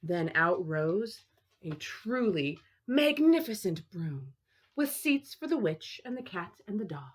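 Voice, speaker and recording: A woman in her thirties reading a picture book aloud as a teacher, expressively and with drawn-out key words for children. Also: mid-range voice, very animated, breathy edge.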